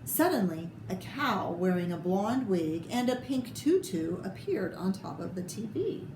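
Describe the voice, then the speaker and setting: A woman reading a children's picture book aloud with expressive, theatrical delivery and short asides about the pictures. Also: mid-range voice, unhurried. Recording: echoey room with clear background noise.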